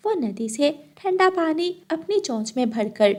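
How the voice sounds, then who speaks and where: High-voiced woman narrating a children's story. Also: sing-song, a little slow.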